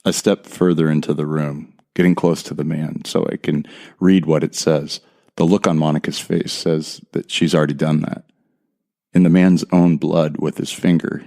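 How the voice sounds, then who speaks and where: A man's voice: rumbling low, warm tone. An actor playing a tense, bitter man who narrates his horror ordeal in a brooding, profane first person. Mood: uneasy. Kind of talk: scripted audio drama narration. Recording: music behind the voice.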